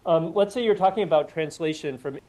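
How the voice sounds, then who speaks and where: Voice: mid-range voice, fairly quick, warm tone, poor audio. A university lecturer giving a recorded class and patiently walking students through the idea, with questions along the way.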